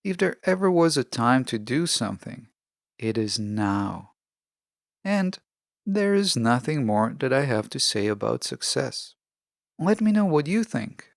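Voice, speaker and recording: A man hosting a podcast, delivering a reflective scripted monologue in measured, earnest, deliberate short statements. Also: mid-range voice, big pitch swings, creaky, dry recording, clean studio sound. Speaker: podcast host.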